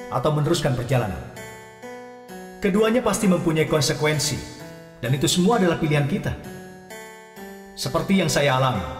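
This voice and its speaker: A man's voice: on the high side, close to an everyday voice. A man as earnest Ken, narrating. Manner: earnest, reflective storytelling. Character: young male radio founder Ken, earnest. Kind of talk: audio drama narration. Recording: echoey room, mic at a distance, guitar music.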